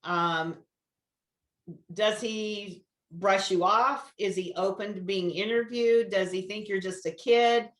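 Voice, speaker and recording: A woman's voice: mid-range voice, steady tone, slow pace, echoey room. A woman hosting a podcast, asking probing questions one after another. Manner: probing, stacks several questions. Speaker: podcast host. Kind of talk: interviewer questions.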